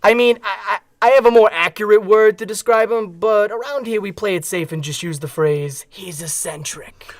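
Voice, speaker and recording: A man's voice: sits high, moderate pace, no echo, clean studio sound. A man playing an easygoing, streetwise veteran henchman, casual and chummy, in a comedic radio play. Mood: chummy, wry. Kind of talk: comedic radio theatre acting.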